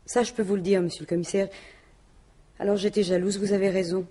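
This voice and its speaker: Radio mystery widow, female actor: French, dark and raspy tone, plain-spoken, jealous, guarded, stumbling over her phrases. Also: mid-range voice, level tone, close to an everyday voice. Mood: confiding. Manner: halting, colloquial, defensive. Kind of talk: 1950s-style French radio mystery acting.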